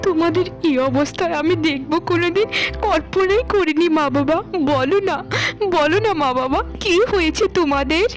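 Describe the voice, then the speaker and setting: A woman as a high-voiced, devoted young daughter. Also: moderate pace, soft background music. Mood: anguished, imploring. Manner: emotional, imploring. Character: devoted, forgiving younger daughter, tender and tearful. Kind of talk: melodramatic cartoon voice acting.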